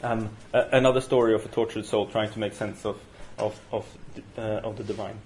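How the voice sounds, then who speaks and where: A man with a Norwegian accent leading a university study session, talking in a halting way with many fillers and restarts. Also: lowish voice, steady tone, darkish tone, a little hiss or hum. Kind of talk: university seminar lecture. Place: university room. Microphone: single room mic.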